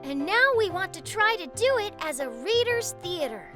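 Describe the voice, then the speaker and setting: A woman's voice: very high-pitched, big pitch swings, normal speed, over music. A woman co-hosting a playful children's reading lesson and reading character parts. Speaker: co-host and character reader.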